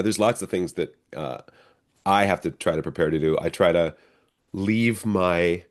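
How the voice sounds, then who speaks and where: A middle-aged American man with a low-pitched voice talks casually as an interview guest. He tells stories in a conversational way, slipping into quoted dialogue like the actor he is. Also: darkish tone. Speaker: stage actor being interviewed.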